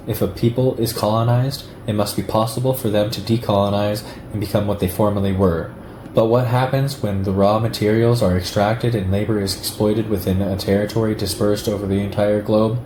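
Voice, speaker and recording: A man reading a political essay aloud in a measured, deliberate way. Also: lowish voice. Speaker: reader. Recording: roomy echo, clear background noise.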